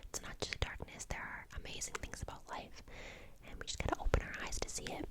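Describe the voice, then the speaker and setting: A woman in her twenties from the United States, whispering an ASMR question-and-answer session in a chatty way with lots of "um" and "like". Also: fast talker. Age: 20s. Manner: soft unvoiced whisper, chatty with many fillers. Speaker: ASMR channel host.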